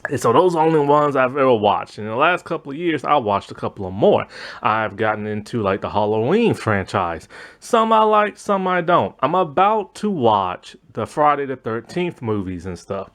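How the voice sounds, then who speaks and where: Man with a strained, fading voice. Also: moderate pace. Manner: rambling, lots of fillers, animated pitch swings. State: losing his voice, strained. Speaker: movie review channel host. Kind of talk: casual opinion monologue.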